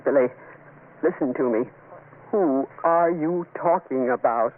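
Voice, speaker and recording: A woman's voice: sits low, measured pace. An actress playing a frightened wife and mother in a 1970s radio suspense drama, with a pleading, dramatic delivery. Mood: alarmed. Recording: tinny, noisy old radio recording.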